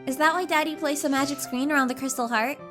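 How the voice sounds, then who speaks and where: Woman voicing an excitable, high-pitched little filly princess. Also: fast, close to an everyday voice. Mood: eager, confident. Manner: eager, childlike chatter. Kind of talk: animated cartoon audio drama acting. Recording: music behind the voice. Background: music.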